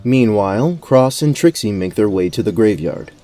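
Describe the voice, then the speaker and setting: Guy narrator, fairly deep warm voice, measured fantasy storytelling. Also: expressive, dry recording. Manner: measured, descriptive.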